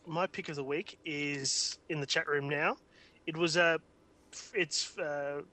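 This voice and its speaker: Bright-voiced Australian man, joking. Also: a little high. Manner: jokey, rambling, laughs often. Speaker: podcast co-host. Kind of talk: casual podcast chat.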